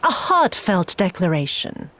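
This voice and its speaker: An actress playing a cruel, haughty imperial viceroy in a video game, speaking in a theatrical, mocking, imperious way. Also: female voice, fairly low pitch, big pitch swings, unhurried, a bit bright. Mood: grand, taunting. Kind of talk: dramatic video game cutscene acting.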